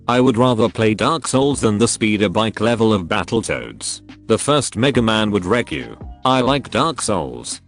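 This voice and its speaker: Male narrator, lower register, even scripted delivery; light music bed underneath. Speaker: narrator reading forum posts. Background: faint background music.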